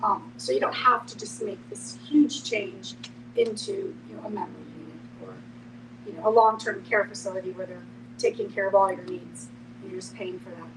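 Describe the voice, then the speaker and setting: A woman's voice: medium pitch, fairly even intonation, brisk. Woman speaking a bit off-mic, noisy. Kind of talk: casual explaining in a group discussion. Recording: distant mic with clear noise and hum.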